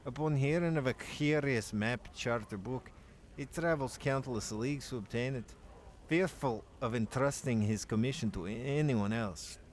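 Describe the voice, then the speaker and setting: A man voicing a gruff, bloodthirsty male dwarf warrior in a put-on Scottish accent, telling tales in an archaic brogue for a game. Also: medium pitch, close to an everyday voice. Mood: boastful. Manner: slow, boastful storytelling. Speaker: player reading the dialogue.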